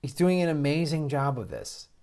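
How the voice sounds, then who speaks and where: Exasperated American man, halting political rant. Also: on the high side, animated, darkish tone. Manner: exasperated, incredulous, mocking; halting, with repeated false starts. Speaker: host of a political commentary show. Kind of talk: casual political commentary with read-out quotes.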